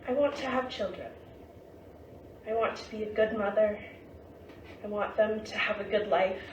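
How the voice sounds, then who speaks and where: A woman playing a devoted young woman from the 1920s, hopeful as she delivers a letter monologue on stage. Her mid-pitched voice sounds distant in a roomy space with faint noise. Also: moderate pace, highs cut off, natural voice. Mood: tender, hopeful.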